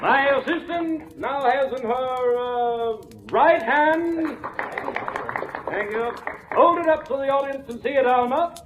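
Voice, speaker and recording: A grand, very high-pitched stage mentalist. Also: male voice, slow pace, noisy background. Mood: grand, commanding. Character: masked male stage mentalist, grand, commanding showman. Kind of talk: 1940s radio drama stage patter. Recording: muffled old radio recording, faint music.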